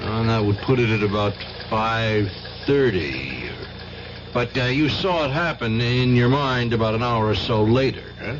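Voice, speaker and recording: An actor playing a methodical police lieutenant who questions in a matter-of-fact way in a radio drama. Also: male voice, medium pitch, lively intonation, a little slow, close to an everyday voice. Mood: skeptical, pressing. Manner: questioning, hesitant filler murmurs, matter-of-fact. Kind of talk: 1970s radio drama acting. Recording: dull old radio recording, clear noise.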